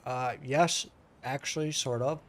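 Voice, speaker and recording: A man's voice: medium pitch, steady tone, a little slow. A man giving casual, wry playthrough commentary as a gaming streamer. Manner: offhand, wry reactions.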